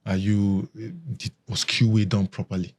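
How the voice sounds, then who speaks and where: A man's voice: fairly low pitch, little pitch movement, normal speed, darkish tone. A man, a security expert guest, explaining step by step in a casual podcast chat. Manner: explains step by step, lists questions.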